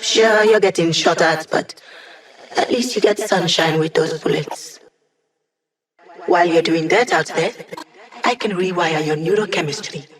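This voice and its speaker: A woman's voice: lowish voice, a little slow, pauses often, natural voice, poor audio. A woman playing a brainy, wry digital hacker in a video game, talking casually and playfully as the squad's tech support. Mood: wry, helpful. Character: cheeky, brainy female hacker, a digital holographic young woman.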